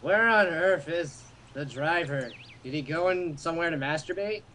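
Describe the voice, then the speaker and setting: A man's voice: fairly high pitch, normal speed, sharp tone. A man reading game dialogue aloud and breaking off into rambling, joking asides, on an amateur recording. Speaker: amateur voice-over player in a group. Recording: noisy amateur home recording.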